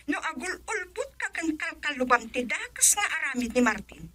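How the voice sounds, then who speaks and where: An actress with an Ilocano Filipino accent playing a sharp, suspicious wife on a phone call in a radio drama. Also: female voice, high voice, sing-song, moderate pace, slight background noise, exaggerated voice. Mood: suspicious, scolding.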